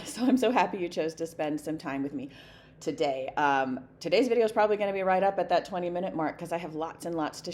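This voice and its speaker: A woman with a hoarse voice chatting casually to camera at her desk, rambling with many fillers and light laughter. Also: lowish voice, a little mellow, slight background noise. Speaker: flosstube vlogger. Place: home desk.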